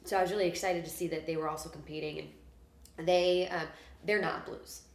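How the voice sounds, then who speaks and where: Low-voiced female singer, casual and animated interview guest, echoey room. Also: brisk. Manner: chatty, animated, filler-heavy storytelling. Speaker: musician guest.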